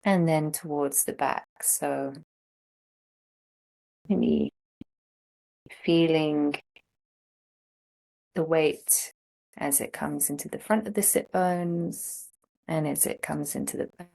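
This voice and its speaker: A woman teaching a guided meditation, speaking gently in short phrases with long pauses between them. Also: low voice, creaky, rough recording. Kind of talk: guided meditation, slow instruction.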